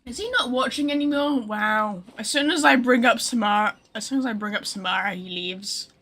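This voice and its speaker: A woman's voice: fairly high pitch, a little fast, fairly bright tone. A woman livestreamer chatting casually and reacting to a game in an informal, teasing way. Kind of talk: casual stream chat, reacting to game dialogue.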